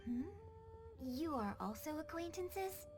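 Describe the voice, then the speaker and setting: A woman playing a polite, modest young opera performer in a video game, with formal, gracious, measured speech. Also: high voice, animated, full character voice. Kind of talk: animated game cutscene dialogue. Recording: music behind the voice.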